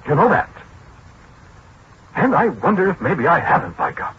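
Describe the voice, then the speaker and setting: Forlorn, self-pitying American scientist, hesitant, very varied intonation, 1940s radio drama with slight hiss. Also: male voice, on the high side, wildly swooping pitch, normal speed. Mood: wistful, self-pitying. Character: disgraced, apologetic scientist, gentle, forlorn, talks to machines. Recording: muffled, noisy 1940s radio recording.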